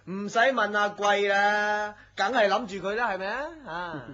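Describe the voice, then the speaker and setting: An actor playing a nosy, superstitious office worker in a Hong Kong Cantonese radio drama, gossipy and full of exclamations. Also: male voice, high voice, measured pace, bright, forceful tone. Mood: teasing, nosy. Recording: muffled lo-fi audio with faint noise.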